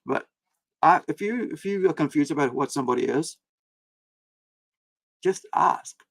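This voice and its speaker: A man's voice: fairly high pitch, lively intonation, measured pace, creaky, no echo. A man born in India whose first language was Hindi, chatting casually in a rambling way with many fillers and restarts on a live stream from his home screen setup. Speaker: comic creator and streamer. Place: home room with several screens.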